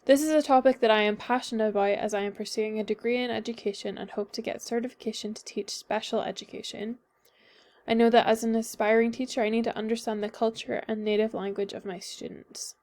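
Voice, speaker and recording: A woman's voice: fairly high pitch, fairly even intonation, fairly quick, a little mellow. Young Northern Irish woman, a bit under the weather, hesitant student presenter full of ums. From Northern Ireland. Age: young adult. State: a little sick. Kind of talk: class presentation.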